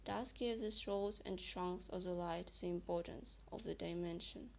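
A woman's voice: lowish voice, fairly even intonation, moderate pace, vocal fry, a little mellow. A young woman reading literary prose aloud indoors. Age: young adult. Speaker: student reader. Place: dim indoor room.

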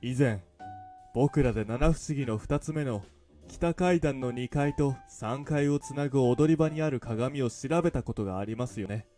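A polite, earnest school club president from a visual novel, acted by a man, who happens to be an eel. He explains things formally and at a quick clip, with music playing behind him. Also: mid-range voice, dark tone, natural voice. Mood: earnest, explaining. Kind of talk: visual novel character acting.

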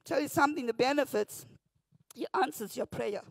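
A woman pastor with a South African accent, preaching a morning devotion from a church pulpit into a handheld mic, often shouting, then dropping to a hush. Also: high-pitched, normal speed, creaky, slightly rough, dry recording. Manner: fervent, often shouting, swings from loud to hushed. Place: church stage behind a pulpit. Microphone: handheld microphone.

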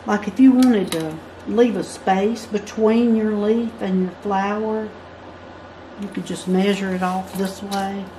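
A woman's voice: mid-range voice, fairly even intonation, takes it slowly, dark tone, a little hiss or hum, poor audio. A woman teaching a pattern-drawing tutorial, chatting through each step as she works at a table. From United States. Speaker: craft instructor. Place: indoors at a work table.

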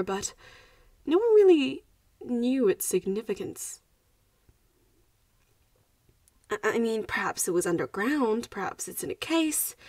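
A woman's voice: mid-range voice, a little mellow, dry recording, clean studio sound, recorded from a distance. A woman voicing an anxious, protective popular vampire schoolgirl in an audio roleplay, hesitant and reassuring as she speaks to the listener. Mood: worried, uncertain.

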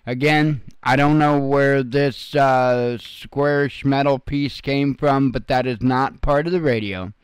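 A man's voice: mid-range voice, steady tone, slow pace, hardly any pauses, clear, resonant tone, no echo. A man hosting informal, unscripted news commentary in a blunt, folksy way with some salty language. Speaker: host of an online news commentary channel.

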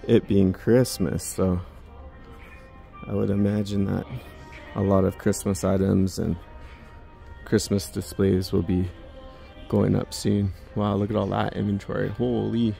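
Low gravelly man vlogging. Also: level tone, unhurried, pauses often, warm tone, soft background music. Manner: musing, chatty remarks to himself. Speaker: vlogger giving a store walking tour. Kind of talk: casual walking-tour commentary. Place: large indoor department store. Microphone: handheld phone camera while walking.

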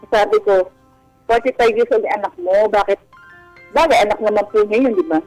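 A woman calling a radio advice show, telling a personal story casually in halting, fragmented phrases. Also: medium pitch, darkish tone, poor audio. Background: faint music.

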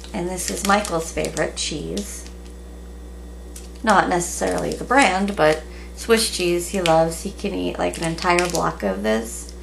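A woman in her thirties chatting casually in a relaxed way with many ums, recorded at home on a camera at a table. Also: fairly low pitch, creaky. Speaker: vlogger. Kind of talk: casual haul video chat. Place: small room at home, indoors. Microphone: camera in front at table. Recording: noisy home webcam recording.

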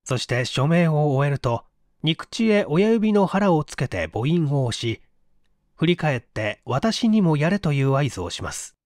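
A man's voice: fairly high pitch, big pitch swings, a little slow, darkish tone, no echo, studio quality. A man reading scripted story narration as an audiobook narrator.

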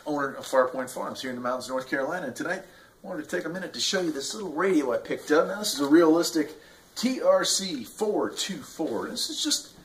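A man's voice: on the high side, expressive, fast talker, a little hiss or hum, rough recording. A man in his forties from the United States, talking casually to camera as he reviews gear in a small workshop. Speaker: vlogger reviewing radio gear. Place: small indoor radio workshop.

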